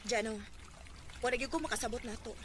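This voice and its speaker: A woman's voice: fairly high pitch, fast, close to an everyday voice. A woman with a Cebuano Filipino accent acting a hurt, neglected young girlfriend in a radio drama. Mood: hurt, confronting. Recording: noisy radio drama recording.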